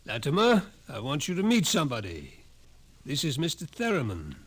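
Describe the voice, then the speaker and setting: Ominous doctor, swooping intonation. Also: male voice, fairly high pitch, huge pitch swings, a bit bright, slightly rough, exaggerated voice. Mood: urgent. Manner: deliberate, ominous explaining. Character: grave scientist doctor, fatalistic and ominous. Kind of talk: old-time radio drama acting. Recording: noisy vintage radio recording.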